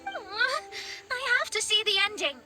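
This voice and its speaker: A haughty young female astrologer from an anime game. Her voice is very high and swings widely in pitch with theatrical flair. Music is clearly heard underneath. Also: sing-song, measured pace, sharp tone, exaggerated voice, poor audio. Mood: dramatic despair. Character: proud, dramatic young female astrologer, easily exasperated. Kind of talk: anime game character acting.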